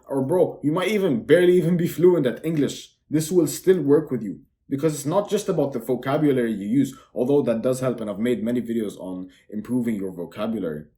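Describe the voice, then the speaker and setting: A young man from Dubai whose first language is Arabic, giving a brash, self-assured sales pitch for his online course to camera and repeating words for emphasis. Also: mellow. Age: young adult.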